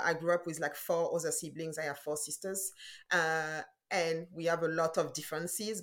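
A woman in her forties with a French accent, talking through her answers as a guest in a casual interview, often pausing on fillers and restarting. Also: low voice. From France. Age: 40s. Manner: thoughtful, with frequent fillers and restarts. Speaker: guest entrepreneur.

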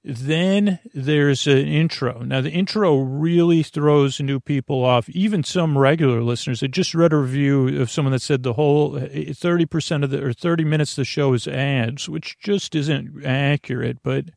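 A man hosting a sleep podcast in a creaky voice, meandering haltingly through tangents and interrupting himself. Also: fairly high pitch, a little mellow, dry recording, clean studio sound. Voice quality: creaky, gravelly. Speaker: podcast host. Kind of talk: rambling sleep-podcast monologue.

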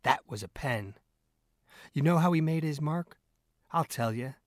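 A man voicing an excitable, scornful, bullying newspaper editor in an audiobook, with an emphatic, blustering delivery. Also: a little high, unhurried, dry recording, studio quality, pitched above the performer's own voice. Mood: excited boasting.

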